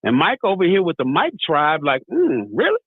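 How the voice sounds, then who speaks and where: Animated American man through a tinny line. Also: on the high side, normal speed, no room sound. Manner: storytelling, acts out dialogue, repeats "you know what I mean". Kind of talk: impassioned casual debate. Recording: tinny phone-like sound.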